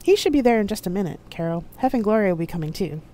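A narrator voicing a calm, knowing artificial intelligence companion in a science fiction audiobook. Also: medium pitch, fast, a little hiss or hum, voice pushed up, natural voice. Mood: teasing, casual.

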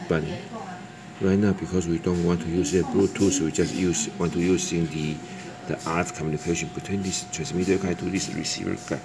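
A man presenting an unscripted hands-on electronics demonstration, halting and repeating words, in non-native, broken phrasing. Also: sits low. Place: indoors at a desk with audio gear. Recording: music playing behind the voice. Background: music from the demonstrated audio player.

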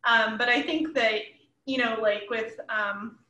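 A woman in her thirties chatting casually as a panel guest, talking in an animated way with laughter in her speech. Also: on the high side, unhurried. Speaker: comics creator and panel guest. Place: indoors at home, room with bookshelf, video call. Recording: echoey room, shared mic.

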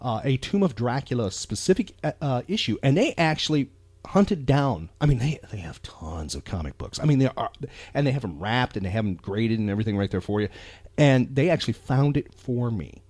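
An American man hosts a podcast with a very animated, emphatic delivery. His talk is casual and folksy, rambling along, recorded close and dry. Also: mid-range voice, a little fast, husky, no echo. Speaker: podcast host.

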